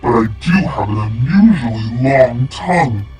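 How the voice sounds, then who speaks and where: A woman's voice: very deep voice, lively intonation, measured pace, echoey room, recorded from a distance, music in the background, voice pushed down. A woman voicing Mac, a scruffy boy monster under the bed who whines and warns. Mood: pushy. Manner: whiny, pushy.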